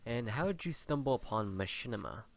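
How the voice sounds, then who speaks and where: A young man in his early twenties hosts a casual interview show, chatting and joking as he reacts quickly to his guest. His voice sits at an ordinary middle pitch. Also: lively intonation, creaky. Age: early 20s. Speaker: podcast host.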